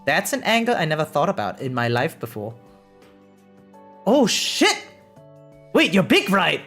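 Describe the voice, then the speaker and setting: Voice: mid-range voice, a little slow. Exclamatory livestreamer over background music. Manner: animated, exclamatory, checks in with "right?". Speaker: variety streamer. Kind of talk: casual livestream reaction commentary, reading aloud and chatting. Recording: music behind the voice.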